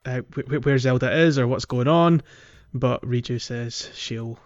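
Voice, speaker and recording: A man's voice: mid-range voice, a little slow. A man co-hosting a podcast in casual, informal chat with some jokey banter. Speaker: podcast co-host. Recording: slightly dull audio over music. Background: music.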